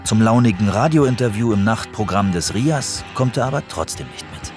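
A man's voice: on the low side, expressive, moderate pace, music in the background. A man narrating a scripted radio documentary.

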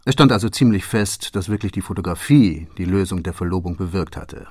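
An actor playing a shrewd detective in a German radio play, laying out his case in a deliberate, formal and precise manner. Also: sits low, a little mellow, no echo, clean studio sound. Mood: methodical, assured. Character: shrewd, methodical male detective recounting his solved case.

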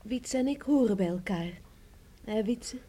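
A woman's voice: on the high side, animated, unhurried, mellow. A woman playing a tender, playful young country sweetheart in a radio play. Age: young adult. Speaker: actor in a radio drama. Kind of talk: Dutch radio play acting.

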